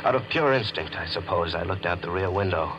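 An actor playing a possessive, guilt-ridden gambler with an intense, hard-boiled manner in a 1940s radio mystery. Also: male voice, sits low, lively intonation, a little fast, lo-fi sound. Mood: frantic. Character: possessive, guilt-ridden gambler narrating his panicked downfall. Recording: vintage radio broadcast with music behind.

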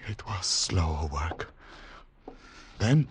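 Low-voiced hunted secret agent. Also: male voice, expressive, full character voice. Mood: hushed suspense. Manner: dramatic, deliberate, suspenseful delivery. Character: hunted male secret agent, tense and wary, narrating his escape. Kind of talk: old-time radio drama acting, first-person suspense narration. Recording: noisy vintage radio broadcast recording.

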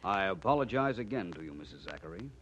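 On an old, muffled radio recording with some noise, a self-assured private detective. He is courteous and deliberate, with a lively theatrical sweep to his pitch in the 1940s manner. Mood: confident. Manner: polite, deliberate, theatrically explanatory. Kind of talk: 1940s radio drama acting.

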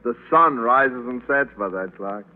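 A man voices a courteous hotel clerk with a sarcastic edge on an old radio drama, his tone lively. The sound is thin and narrow, like an old radio band. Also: mid-range voice, unhurried, natural voice. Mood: polite. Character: polite, mildly sarcastic male hotel clerk. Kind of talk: 1940s radio acting. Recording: tinny old radio broadcast.

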